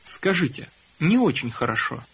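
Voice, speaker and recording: A man leads a language course in a calm, instructive tone, prompting the listener to repeat each phrase. His voice sits a little high and sounds breathy and husky. The recording is dull and lo-fi. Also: moderate pace. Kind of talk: scripted instruction prompting learners.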